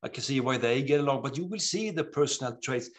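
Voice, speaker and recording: A middle-aged man with a Swedish accent, an author talking in an interview, earnest and animated, often restarting his sentences. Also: medium pitch, fast. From Sweden. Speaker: author guest. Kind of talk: interview conversation.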